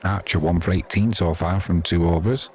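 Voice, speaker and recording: A man's voice: low voice, steady tone, moderate pace, a little mellow. A man giving casual live cricket commentary as host, with wry, jokey asides. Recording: crowd noise behind the voice.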